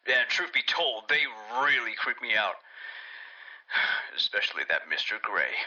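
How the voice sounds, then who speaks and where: A baffled company accountant, in a cartoon horror game. He is uneasy and folksy, his sharp voice coming through dull old tape. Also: on the low side, very animated, unhurried, full character voice. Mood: wary. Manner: hesitant, folksy, exasperated. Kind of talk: audio log in a cartoon horror video game.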